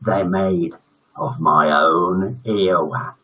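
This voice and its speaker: A man voicing a ghoulish, sadistic schoolteacher in a storybook, with slow, drawn-out menace and muttered growls. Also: low voice, fairly even intonation, voice pushed down. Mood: sly menace. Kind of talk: storybook character reading. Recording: dull lo-fi livestream audio.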